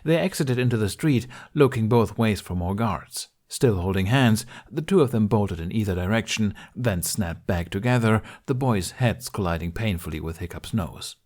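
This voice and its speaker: Low-voiced man narrating a story. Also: lively intonation, normal speed, hardly any pauses, darkish tone. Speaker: podfic narrator. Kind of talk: expressive scripted story narration.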